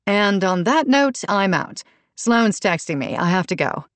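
A woman's voice: medium pitch, big pitch swings, a little slow, no echo, voice pushed up. A woman voicing a blunt, defensive, sardonic mother in an audiobook. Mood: curt, dismissive. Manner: blunt, confrontational. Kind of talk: audiobook character dialogue.

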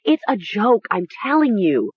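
A woman voicing a frantic young woman in denial, shrill, pleading and insistent, in an audiobook. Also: sing-song, a little slow, slightly rough, muffled recording, heavily acted. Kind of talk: audiobook character acting.

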